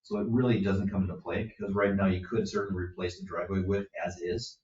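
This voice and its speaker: A man's voice: low voice, fairly even intonation, fast talker, darkish tone. A man on village staff answering a technical question in a matter-of-fact way. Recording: echoey room, distant muffled mic.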